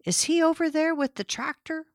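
A woman's voice: high voice, normal speed, no room sound, studio quality, pitched above the performer's own voice, close to an everyday voice. A woman narrator voicing an agitated, boastful, childlike rural man with blunt, emphatic speech in an audiobook. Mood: anxious. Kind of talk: audiobook character reading.

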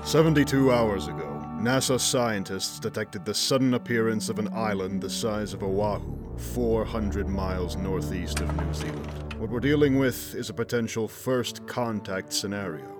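A low-voiced, commanding operation leader, played by a man in an audio drama. He is formal, stern and unyielding, with loud music playing behind him. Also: normal speed, few pauses, close to an everyday voice. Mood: briskly authoritative. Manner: authoritative, formal.

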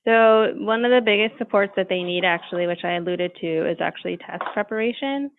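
A woman's voice: medium pitch, a little fast, slight background noise. A woman speaking as a guest expert in a podcast conversation, explaining at length and often checking in with "right?". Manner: thoughtful, explanatory, many "right?" tags. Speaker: guest expert on teacher preparation. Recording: thin phone-like line, dry.

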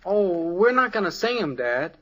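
An American actor playing an eager, brash teenage son in a 1950s radio sitcom, enthusiastic and slangy. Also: male voice, high-pitched, very animated, moderate pace, highs cut off, crowd noise.

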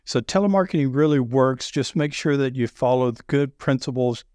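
A middle-aged man with a deep Southern accent, talking loudly and telling sales stories with many restarts. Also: moderate pace, clear, resonant tone, no echo, studio quality. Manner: loud, animated storyteller with frequent restarts. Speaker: sales podcast guest. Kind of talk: casual conversation.

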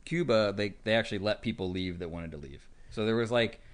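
A man's voice: fairly low pitch, brisk. A man chatting casually as a podcast guest, explaining things in a loose, rambling way full of asides. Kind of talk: casual chat.

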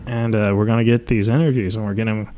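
A man hosting a casual live podcast, talking as a comedian in a rambling, halting, self-deprecating way. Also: on the low side, fairly even intonation, mellow, slight background noise. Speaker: podcast host and stand-up comedian.

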